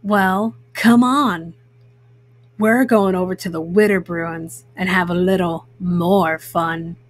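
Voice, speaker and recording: A woman's voice: on the low side, steady tone, slow, voice pushed down. A woman voicing a cocky adolescent boy bear, a breezy, sneering gang leader in a children's storybook.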